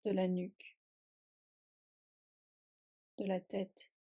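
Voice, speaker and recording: Woman, meditation guide, very even intonation, slow pace, calm, soothing, short phrases, long pauses. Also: medium pitch, breathy edge. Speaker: yoga nidra meditation guide. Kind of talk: guided relaxation meditation, scripted.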